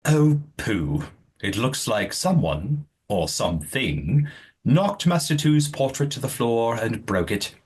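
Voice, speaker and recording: A woman's voice: a bass voice, big pitch swings, slow pace, a little mellow, husky, full character voice. A woman playing a prim, eccentric master of a manor in a comic audio play, with a mannered, theatrical delivery. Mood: puzzled, put out.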